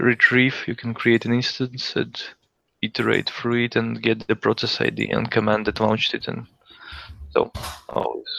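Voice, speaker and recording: A man's voice: mid-range voice, gravelly, a bit bright, no room sound. A man hosting a casual tech show, reading out listings and thinking aloud as he goes. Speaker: podcast host. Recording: dull lo-fi sound.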